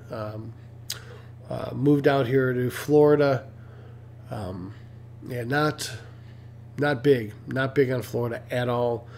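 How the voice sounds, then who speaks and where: A man's voice: mid-range voice, a little mellow. A middle-aged man from Detroit, congested, chatting casually and rambling as a livestream host, with lots of fillers and restarts. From Detroit, Michigan.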